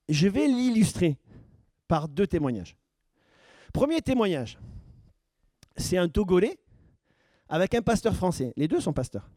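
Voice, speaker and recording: A man's voice: sits high, a little mellow, no room sound, clean studio sound. A man preaching as pastor, questioning his congregation and building to emphatic points. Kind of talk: sermon teaching.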